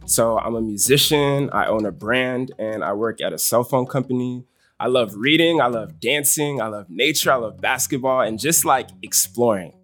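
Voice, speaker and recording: A man's voice: medium pitch, moderate pace, fairly bright tone. A man in his twenties from Zimbabwe, a dating show contestant, talking earnestly about himself. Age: 20s. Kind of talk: casual chat.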